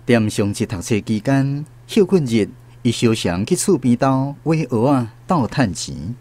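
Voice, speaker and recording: A man's voice: medium pitch, big pitch swings, unhurried, mellow. A man with a Taiwanese accent narrating a scripted literary radio drama as a storyteller.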